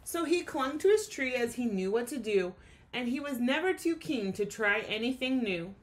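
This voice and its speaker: A woman's voice: a little high, expressive, normal speed. A woman teacher giving a children's read-aloud lesson, speaking expressively and explaining things in simple terms.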